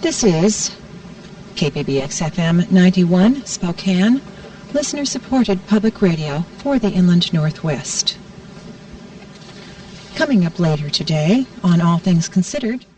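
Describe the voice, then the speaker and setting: A woman's voice: lowish voice, expressive, measured pace, mellow. A woman announcing on public radio, reading a station identification and programme preview. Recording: slightly distant radio aircheck.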